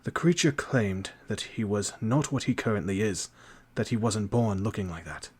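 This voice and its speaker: An actor playing a dutiful, rule-following knight officer in a fantasy audio drama, formal and earnest. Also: male voice, fairly low pitch, animated, normal speed, slightly rough.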